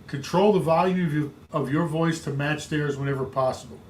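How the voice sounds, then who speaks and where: Man, booming voice, somewhat high, emphatic coaching talk, light background hiss. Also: fairly quick, darkish tone. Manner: emphatic, repetitive coaching delivery. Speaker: real estate sales trainer. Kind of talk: instructional podcast talk.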